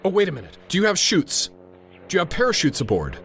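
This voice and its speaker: An actor playing a tense, authoritative airfield controller in a radio drama, narrating and giving orders with clipped urgency. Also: male voice, high-pitched, huge pitch swings, fast, a bit bright, slight background noise, exaggerated voice. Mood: urgent alarm. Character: tense, commanding male airfield tower controller narrating a crisis.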